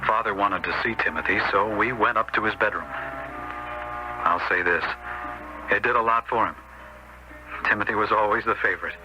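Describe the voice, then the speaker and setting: An actor playing a stern, upright American older brother, a storekeeper, in a 1970s radio drama. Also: male voice, on the low side, moderate pace, long pauses, over music, close to an everyday voice. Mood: recounting. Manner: stern, admonishing, firm. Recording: dull, lightly noisy old radio recording.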